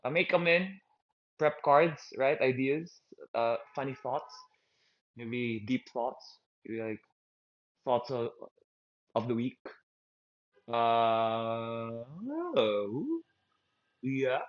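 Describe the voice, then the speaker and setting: A man from the Philippines with a Filipino accent, hosting his own vlog in a halting stream-of-consciousness monologue, often repeating words and poking fun at himself. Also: mid-range voice. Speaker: host. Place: outdoors in a park. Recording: dull lo-fi sound.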